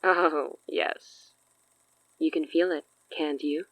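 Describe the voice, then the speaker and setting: A woman's voice: sits low, fairly even intonation, slow, fairly bright tone, no room sound, exaggerated voice, poor audio. A woman playing a cocky, teasing supernatural villainess in a horror audio drama, with smug, coy delivery. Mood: smooth bargaining.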